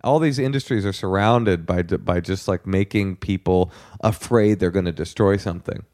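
American guy cohosting a podcast, kinda low voice, super dry sound, jokey and opinionated. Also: normal speed, darkish tone, dry recording. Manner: joking, opinionated, emphatic repetition. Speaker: podcast co-host. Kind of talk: casual comic chat, ad reads.

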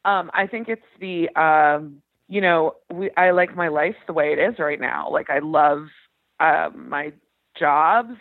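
A woman's voice: deep voice, measured pace, no room sound. A woman in her thirties talking candidly and chattily, with self-deprecating humour, as a caller over a phone line. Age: 30s. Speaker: caller seeking advice; education professional. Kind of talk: candid personal conversation. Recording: thin, tinny phone call.

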